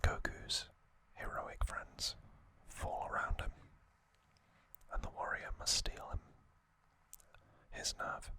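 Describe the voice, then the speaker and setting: A man whispering softly in a breathy, deliberate ASMR narration, speaking in short phrases with pauses. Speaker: host of an ASMR channel. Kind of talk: whispered ASMR trivia narration and reading aloud.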